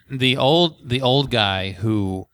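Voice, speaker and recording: A man's voice: medium pitch, expressive, drawn-out words, a bit bright, no room sound. A man chatting casually with friends, dropping dry, wry asides. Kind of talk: casual banter.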